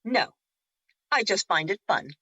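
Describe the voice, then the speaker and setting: Woman acting bright, teasing spirit Luna. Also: medium pitch, animated, measured pace, husky, no room sound, highs cut off, exaggerated voice. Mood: playful, teasing. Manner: wry, needling. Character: Luna, playful, teasing, unafraid female spirit. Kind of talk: audio drama acting.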